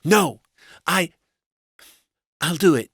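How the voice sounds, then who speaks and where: A man's voice: extremely slow, fairly bright tone, husky, no room sound, studio quality, full character voice. A man voicing a meek, anxious, long-suffering wife in an audiobook story, speaking in a plaintive, fretful way. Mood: meek, subdued. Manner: plaintive, fretful, higher-voiced.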